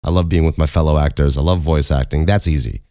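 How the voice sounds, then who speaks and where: A young man hosting a casual Q and A, with a very low speaking voice, rambling and self-mocking and swearing casually. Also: steady tone, quick pace, warm tone, no echo, clean studio sound. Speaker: podcast host and voice actor. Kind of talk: casual Q and A chat.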